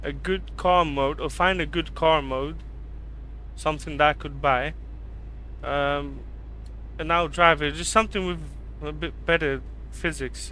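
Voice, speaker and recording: A man's voice: mid-range voice, sharp tone, slight background noise, rough recording. A man who grew up in Canada, chatting casually on a live stream while he drives in a game, reading and answering viewers by name. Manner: chatty, loose, reads and answers chat by name, calls viewers mate.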